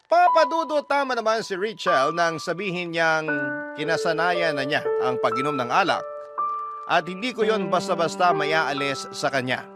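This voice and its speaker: Guy narrating a radio love story, slightly high voice, music really loud behind him. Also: animated, moderate pace. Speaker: storyteller reading a listener's letter. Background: prominent music bed.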